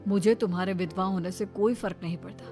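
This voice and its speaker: A woman's voice: medium pitch, fairly even intonation, fast talker, music in the background, lightly acted. A woman voicing an earnest, determined young man courting in a cartoon, polite and sincere.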